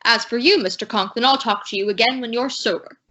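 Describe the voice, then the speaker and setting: A woman's voice: on the high side, fairly quick, sharp tone. A woman playing a stern, affronted school official, curt and formal, in an old-time radio comedy. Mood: indignant. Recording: dull, lo-fi audio.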